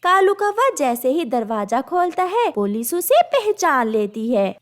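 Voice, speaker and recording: A woman's voice: high voice, sing-song, measured pace. A woman storyteller narrates a children's tale. She reads scripted lines, telling the events scene by scene.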